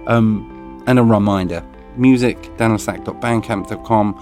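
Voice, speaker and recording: Rambling podcast host, papery voice. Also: male voice, lowish voice, steady tone, a little slow, darkish tone, faint music behind. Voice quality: crumpled, papery voice. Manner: rambly, self-deprecating, laughs at himself. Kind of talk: casual rambling outro.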